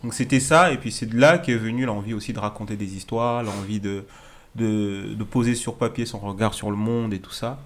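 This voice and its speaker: A man in his thirties from Alsace, a guest artist recounting his path in a relaxed, storytelling way with frequent fillers, in an apartment. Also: fairly low pitch, normal speed. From Alsace, France. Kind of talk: casual interview answers.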